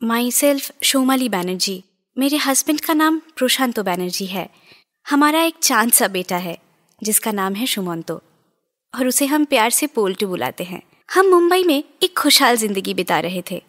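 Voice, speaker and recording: High-pitched, dramatic anxious mother played by an actress. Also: expressive, moderate pace, a bit bright. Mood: fond, narrating. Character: anxious Bengali mother recounting a haunting. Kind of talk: dramatic audio horror story acting.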